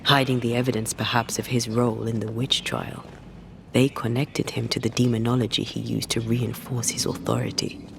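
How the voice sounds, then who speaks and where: Game role: male actor as grave paranormal investigator, creaky mid voice, measured, thoughtful. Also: mid-range voice, little pitch movement, noisy background, close to an everyday voice. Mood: grave, observant. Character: perceptive, grave ghost hunter investigating a mystery. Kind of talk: video game dialogue.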